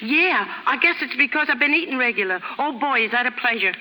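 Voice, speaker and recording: High-pitched brash street girl, 1930s radio. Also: expressive, brisk, sharp tone, exaggerated voice. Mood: caring, frank. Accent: American. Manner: slangy, ungrammatical, cheeky, putting on airs at times. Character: brash, warm-hearted young street-bred working-class woman. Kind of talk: 1930s radio drama acting. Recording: hissy 1930s radio recording, reverberant stage.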